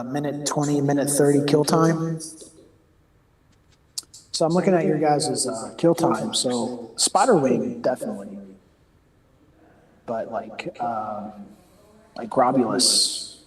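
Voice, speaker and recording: A man with a raspy voice from a cold, chatting loosely and laughing during a game raid. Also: medium pitch, slow, pauses often, roomy sound, a crowd in the background, rough recording. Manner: chatty, rambling, laughs often. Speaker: raid member on voice chat. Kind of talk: casual gaming voice chat.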